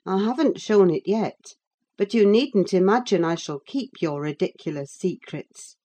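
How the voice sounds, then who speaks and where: A stern woman servant from a classic novel, acted by a female narrator, speaks with grave, scornful sarcasm. Her scolding is animated, with wide swings of pitch and a measured pace. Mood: severe. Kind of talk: audiobook character acting.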